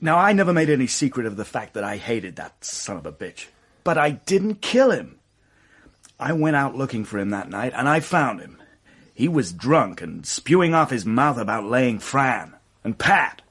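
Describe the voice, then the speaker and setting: An actor playing a hot-tempered, defiant murder suspect in a radio mystery, blunt and defensive. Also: male voice, mid-range voice, very animated, normal speed, a bit bright, slightly rough, full character voice. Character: defiant, hot-tempered male actor under police suspicion. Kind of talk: radio mystery drama acting.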